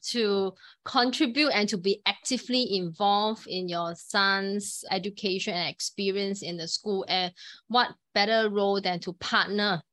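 A woman podcast host and executive coach with a polished, measured delivery, introducing her show and asking questions. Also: medium pitch, fairly even intonation, a bit bright. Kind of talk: scripted podcast intro and interview.

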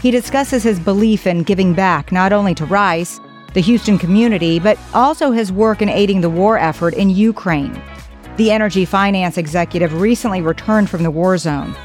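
A woman's voice: medium pitch, normal speed, darkish tone, soft background music. A woman hosting a podcast, asking upbeat, conversational questions. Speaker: podcast host. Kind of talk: interview hosting.

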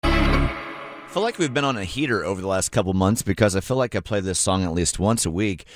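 A man's voice: sits low, big pitch swings, brisk, over loud music. A man hosting a morning radio show, teasing his co-host in a playful way. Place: radio studio.

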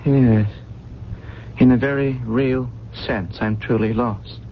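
An actor playing a lost, bewildered gentleman in a radio drama, speaking in a halting, wistful way. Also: medium pitch, fairly even intonation. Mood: dazed, forlorn. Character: lost, bewildered, well-dressed gentleman without memory. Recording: muffled lo-fi radio recording, faint noise.

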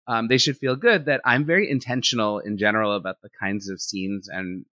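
A man, an animator and director, talking in a relaxed interview and thinking out loud with lots of "like" fillers. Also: on the low side, a little fast, no room sound. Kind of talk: casual interview conversation.

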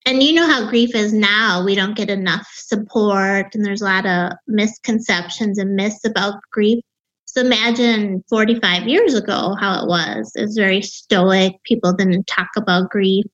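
A woman in her fifties with a Wisconsin accent and a young-sounding voice, telling her own story as an interview guest in a reflective way, with halting restarts. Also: medium pitch, steady tone, a bit bright, muffled recording. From Wisconsin, USA. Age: 50s. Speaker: author and grief guest.

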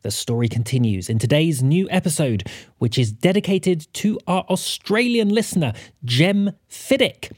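A man's voice: on the high side, animated, moderate pace, no echo, clean studio sound. A man announcing a show with scripted promos and introductions, speaking theatrically and directly to listeners.

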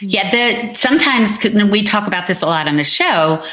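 A woman's voice: mid-range voice, expressive, moderate pace, pushed and bright. A woman author talking casually about her novel at a book launch, chatty and explanatory.

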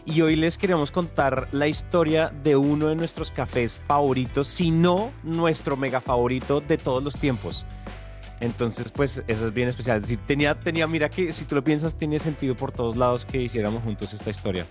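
A man co-hosting a podcast, chatting in a relaxed, rambling way. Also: medium pitch, brisk, soft background music. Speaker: podcast co-host. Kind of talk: casual podcast chat.

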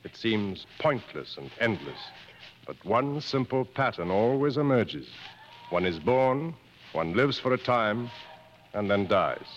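Seasoned safari guide, knowing and fatalistic, very animated pitch, vintage radio drama on a dull recording. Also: male voice, slow, a little hiss or hum. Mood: weary, philosophical. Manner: knowing, didactic, dryly fatalistic. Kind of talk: mid-century American radio drama acting.

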